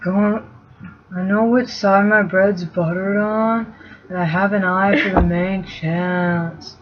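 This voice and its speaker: A laid-back male hobbit servant, put on by a woman, sounding like a sleepy stoner. He drawls slowly and dimly through a storybook tale. The sound is slightly muffled with faint background noise. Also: medium pitch, fairly even intonation, close to an everyday voice. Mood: rambling.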